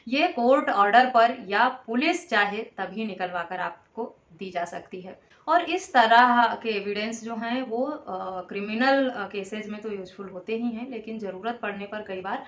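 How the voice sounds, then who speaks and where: A woman giving a measured, instructive explanation to viewers, in the style of a legal awareness host. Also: medium pitch, fairly bright tone. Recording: echoey, reverberant room.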